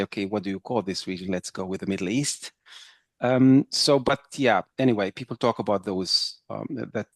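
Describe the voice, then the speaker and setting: Man; chatty trainer lecturing a group; low pitch, dry room. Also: vocal fry, no room sound. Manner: conversational, checks in with "right?". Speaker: intercultural trainer. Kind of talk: workshop lecture with audience.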